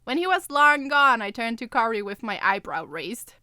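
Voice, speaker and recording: A woman's voice: on the high side, lively intonation, normal speed, sharp tone, clean tone, dry recording, studio quality. A woman co-hosting a podcast, with a playful manner, in casual chat and story reading. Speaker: podcast co-host and co-writer.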